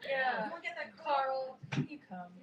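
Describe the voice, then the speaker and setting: A woman's voice: high voice, expressive, moderate pace, highs cut off. A playful, giggly woman talking casually and off the cuff. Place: indoor live venue. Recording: echoey noisy room, distant mic. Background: loud room noise.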